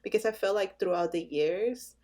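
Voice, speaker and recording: A woman brand designer answering interview questions casually, pausing often with "um" as she thinks. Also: mid-range voice, darkish tone. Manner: hesitant, with many "um" pauses.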